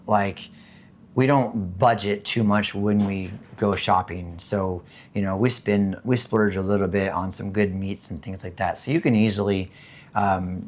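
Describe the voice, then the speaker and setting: A man from the United States with a hoarse voice after being sick, chatting casually and rambling about living costs, with many fillers and restarts. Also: fairly low pitch. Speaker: vlogger. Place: home in the Philippines.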